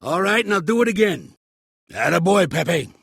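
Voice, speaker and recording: An actor voicing a shrewd, gruff old American mobster for a video game, streetwise and blunt, with a gravelly, husky voice. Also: male voice, high voice, big pitch swings, normal speed, fairly bright tone, dry recording, full character voice. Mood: barking, coaching. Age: older. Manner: streetwise, commanding, blunt, slangy. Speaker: mobster mentor and boxing coach. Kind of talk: video game character acting.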